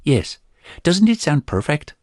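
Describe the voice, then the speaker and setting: A man's voice: on the high side, big pitch swings, a little slow, darkish tone, no room sound, studio quality, voice pushed up. A man voicing an angry young male rogue swordsman who talks in a heated, insistent way.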